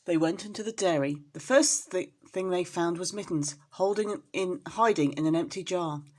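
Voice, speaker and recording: A woman reading a children's story aloud as a storyteller, in an expressive style. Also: on the low side, moderate pace.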